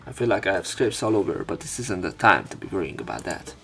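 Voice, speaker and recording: A man's voice: low-pitched, very animated, fast talker, creaky, a bit bright. A man doing a let's play, reading a visual novel aloud as dramatic narration mixed with casual commentary. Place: voice-over on game footage. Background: faint game music.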